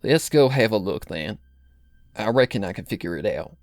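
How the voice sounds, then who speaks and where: A man's voice: lowish voice, lively intonation, normal speed, no echo, clean studio sound. A man voicing a practical young female farm pony in a story reading, with a rural Southern drawl. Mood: concerned, practical. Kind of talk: fan fiction character reading.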